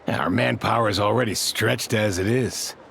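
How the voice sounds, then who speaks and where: Gravelly, serious sergeant major. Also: male voice, on the low side, very noisy. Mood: grave, courteous. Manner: formal, measured. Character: serious, courteous male sergeant major, burdened by duty. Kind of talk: video game character dialogue.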